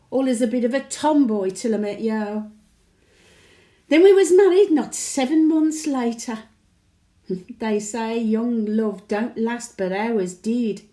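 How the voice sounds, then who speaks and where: Woman acting a high-voiced, slow-talking elderly Brummie widow. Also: mellow. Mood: fond, wistful. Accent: Brummie (Birmingham). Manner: folksy dialect, wistful and chatty. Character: chatty elderly Birmingham widow, sentimental, cheeky, plain-speaking. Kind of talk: dramatic monologue, conversational reminiscence.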